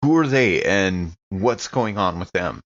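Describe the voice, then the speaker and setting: A man's voice: deep voice, expressive, unhurried, fairly bright tone, dull audio. A man playing a character in a tabletop roleplaying podcast, chatting casually in and out of character. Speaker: player in an actual-play podcast.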